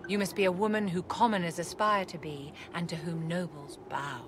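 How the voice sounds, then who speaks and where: Video game role: haughty noblewoman mage played by a woman, low register, measured and formal, noisy ambient backdrop. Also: steady tone, natural voice. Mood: lofty, commanding. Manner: poised, haughty, condescending endearments, measured formal speech. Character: imperious, elegant aristocratic court enchantress. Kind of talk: video game cutscene acting.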